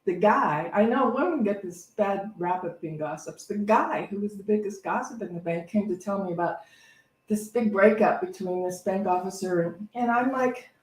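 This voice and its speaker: Woman reverend, reflective, anecdotal, halting unscripted delivery, echoey room, distant mic. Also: medium pitch. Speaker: reverend giving a spiritual talk. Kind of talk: conversational, unscripted inspirational talk.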